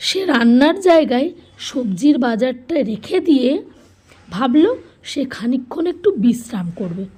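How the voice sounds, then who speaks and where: A woman narrating a scripted children's story as a storyteller, with a slightly husky voice. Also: high-pitched, expressive, normal speed, darkish tone.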